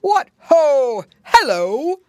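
A woman's voice: a little high, sing-song, unhurried, fairly bright tone, dry recording, studio quality, voice pushed up. A woman voicing a jolly, round old man of Christmas with a hearty, calling manner, in a storybook. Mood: hearty surprise.

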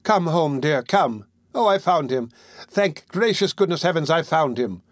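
A man's voice: fairly high pitch, lively intonation, a little slow, dry recording, studio quality, pitched above the performer's own voice. A man voicing a hysterical, scheming young woman who laments and gushes with feigned distress in a storybook. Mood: hysterical relief. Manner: gushing, melodramatic lamenting.

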